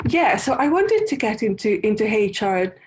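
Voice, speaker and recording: A woman from Hungary, a podcast guest, answering thoughtfully in a halting way with many repeated words. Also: medium pitch, very animated, slight background noise. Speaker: guest, UX designer. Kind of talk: casual interview answers.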